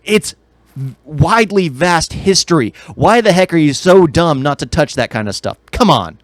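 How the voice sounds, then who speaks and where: A man's voice: on the high side, expressive, normal speed, no room sound. Opinionated man rambling in casual chat. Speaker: podcast co-host. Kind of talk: casual chat about video games and film.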